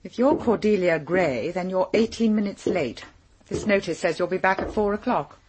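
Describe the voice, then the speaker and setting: An actress playing a cool, haughty English secretary in a radio drama, speaking formally and with composure. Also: female voice, medium pitch, normal speed, noisy background, close to an everyday voice. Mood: brisk, impatient. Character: cool, brisk, haughty female secretary to a powerful man. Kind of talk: British radio drama acting.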